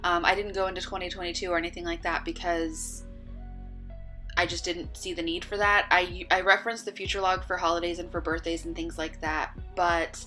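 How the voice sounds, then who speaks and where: A woman with a fairly low voice talks loosely through her planner pages, filling pauses with ums and uhs. Her voice is a little worn, as if she is losing it. Faint music plays underneath the voice-over. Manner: relaxed, chatty, with many ums and uhs. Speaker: bullet journal vlogger. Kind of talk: casual voice-over walkthrough.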